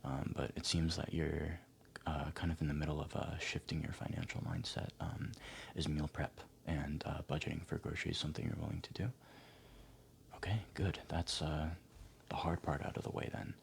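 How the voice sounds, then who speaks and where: A man speaking softly, often near a whisper, in a gentle, hesitant, encouraging ASMR roleplay as an advisor talking to a client. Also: rumbling low, expressive, moderate pace, gravelly. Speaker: ASMR performer playing a financial advisor. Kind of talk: ASMR roleplay, soft-spoken one-sided client consultation.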